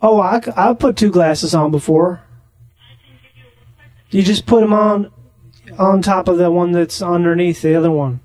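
A man making a deadpan prank phone call, rambling naively and slow to catch on. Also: sits high, no echo. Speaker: prank caller on a comedy podcast.

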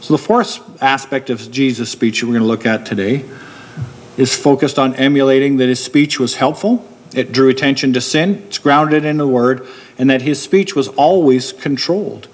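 A middle-aged man preaching a sermon from a church pulpit. He explains steadily and slows down to stress key points. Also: mid-range voice. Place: church hall with a wooden pulpit.

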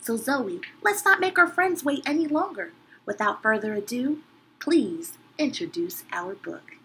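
A woman's voice: a little high, big pitch swings, a little slow, sharp tone, slight background noise. A young woman hosting a children's story show with a playful, scripted introduction. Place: small indoor decorated room.

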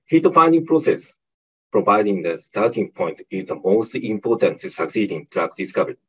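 Low husky man on muffled audio. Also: sing-song, fairly bright tone. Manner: read-out, measured delivery. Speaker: senior director presenter. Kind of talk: webinar presentation. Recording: dull muffled webinar audio.

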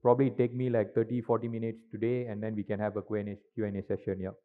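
A man's voice: lowish voice, little pitch movement, racing. A middle-aged man giving a conference talk on stage into a handheld mic, with lots of "uh" and "right?" tags. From Malaysia. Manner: filler-heavy, frequent tag questions. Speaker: industry speaker at a conference. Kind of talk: presentation talk. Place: large conference hall stage. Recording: tinny, lo-fi hall recording.

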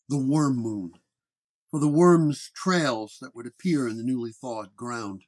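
A man's voice: medium pitch, darkish tone. A man narrating a first-person detective horror story in a dramatic storytelling manner. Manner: storytelling, acting out dialogue.

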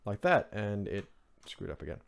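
Low-voiced Norwegian man, casual tutorial host. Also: sing-song, moderate pace, creaky, a little hiss or hum. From Norway. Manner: rambling, offhand, informal asides. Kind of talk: casual screen-recorded software tutorial. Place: indoors at a computer, screen recording voice-over.

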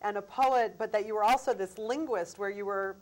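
A middle-aged woman interviewing a guest in a TV studio on a lapel mic, encouraging and quick with short replies. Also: mid-range voice, very noisy. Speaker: interviewer host. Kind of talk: interview conversation. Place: TV studio, seated.

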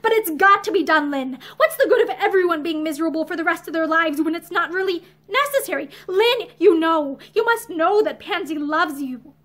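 A woman's voice: very high voice, animated, fairly quick, fairly bright tone. A woman voicing an earnest, tearful young woman in a story, outspoken, with an emotional, pleading delivery. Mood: passionate pleading.

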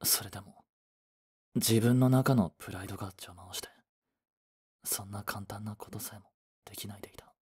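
A man playing a young office worker, a proud but regretful boyfriend, in a romantic voice drama with a soft, breathy delivery. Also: lowish voice, moderate pace, pauses often. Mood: subdued narration. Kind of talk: romantic voice drama acting with introspective narration.

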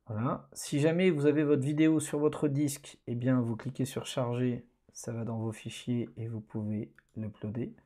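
A man talks through a screen-recorded tutorial in a relaxed, hesitant way, with many fillers, as an online course instructor. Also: medium pitch, moderate pace, hardly any pauses.

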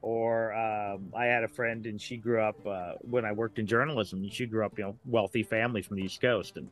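A middle-aged man talking casually as a podcast guest, a writer who haltingly searches for examples as he goes. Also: fairly low pitch, steady tone.

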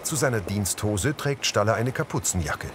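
Deep-voiced, animated male narrator. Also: moderate pace, noisy background. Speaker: audio description narrator. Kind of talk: scripted scene narration.

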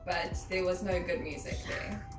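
A woman's voice: lowish voice, fairly even intonation, normal speed, echoey room, over music, rough recording. A woman, the vlogger's housemate, chiming in off camera with dry, teasing remarks during a casual chat.

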